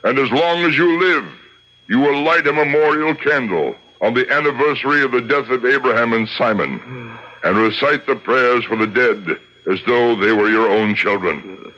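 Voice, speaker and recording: An actor playing a solemn, commanding rabbi in a radio drama, declaiming in a measured, theatrical way. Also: male voice, mid-range voice, bright, forceful tone. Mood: stern, judicial. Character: solemn, commanding rabbi judge conducting a tribunal and exorcism. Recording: dull lo-fi old radio recording.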